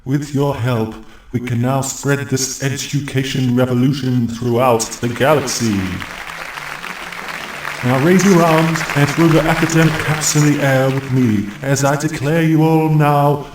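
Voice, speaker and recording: A man's voice: medium pitch, fairly even intonation, measured pace, natural voice. A man playing a pompous, self-important university chancellor giving a theatrical speech in a comic audio drama. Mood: grandiose. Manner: pompous, declamatory. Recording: echoey, distant mic, crowd, faint noise.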